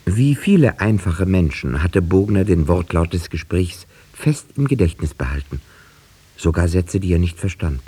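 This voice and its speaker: An actor playing a sober village narrator, telling the story in a measured, reflective way with a dark, husky voice in a 1950s radio play. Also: male voice, deep voice, sing-song, full character voice. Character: male village narrator, sober, reflective, fond dog owner.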